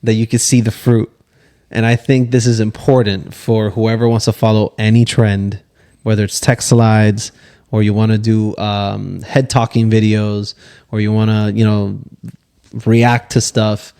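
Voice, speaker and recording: A man's voice: lowish voice, little pitch movement, measured pace, warm tone, smooth. Preachy, conversational podcast co-host man. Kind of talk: casual faith-based podcast chat.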